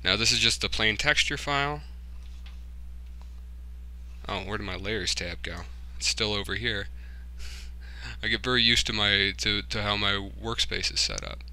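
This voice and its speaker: Man, very bright tone, gravelly creak, informal, thinking aloud, casual software walkthrough. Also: on the low side, a little slow, long pauses. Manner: informal, muttering to himself while working. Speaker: tutorial host, hobbyist game developer.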